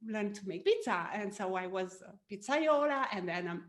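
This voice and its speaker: Romanian lady coach on a podcast, talks easy with lots of uhs, mid pitch. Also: expressive, moderate pace. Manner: frequent "uh" and "um", laughs often. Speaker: podcast guest, business coach. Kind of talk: casual interview storytelling.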